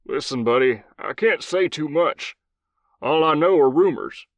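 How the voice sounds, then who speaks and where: A man voicing an older male commander, a gruff old cowboy with a thick Southern drawl who swears freely. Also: a little high, expressive, measured pace, dry recording, pitched above the performer's own voice. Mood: gruff consoling.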